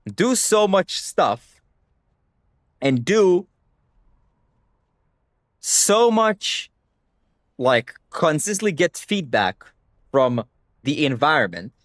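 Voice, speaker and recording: A man's voice: fairly high pitch, no room sound, clean studio sound. A young man whose first language is Hebrew, talking bluntly to his stream chat and swearing freely. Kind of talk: casual livestream rant.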